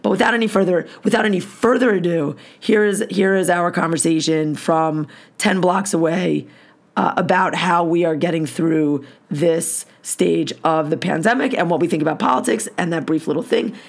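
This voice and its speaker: A hoarse woman hosting a podcast, delivering a blunt, profane, heated rant. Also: fairly low pitch, moderate pace. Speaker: podcast host.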